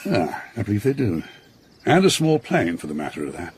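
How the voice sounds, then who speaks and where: An actor playing a genial, complacent British colonial official in a radio drama, avuncular, clubby and animated. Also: male voice, fairly low pitch, brisk, husky, full character voice. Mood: complacent, dismissive.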